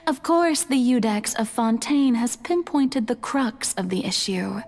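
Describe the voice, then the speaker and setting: An age-old female deity from a fantasy game, speaking in a lightly raised pitch with theatrical poise. She is calm, resolute and playful, taking her time over formal phrases. Music plays softly behind her. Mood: playful, teasing. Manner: theatrical, teasing, measured, formal diction. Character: serene, playful female god, centuries old, quietly resolute. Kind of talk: dramatic video game voice acting.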